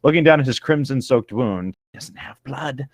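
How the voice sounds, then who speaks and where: A man's voice: medium pitch, animated, normal speed, no echo, clean studio sound. A man co-hosting a casual read-aloud show, moving between narrating fan fiction and riffing on it.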